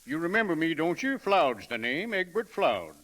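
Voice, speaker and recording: A henpecked, folksy husband with an American Western drawl in an old radio comedy. His high voice swings widely and cuts through brightly, blunt but friendly. The recording is old and crackly. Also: sing-song, normal speed. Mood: sheepish, folksy. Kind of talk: 1940s radio comedy acting.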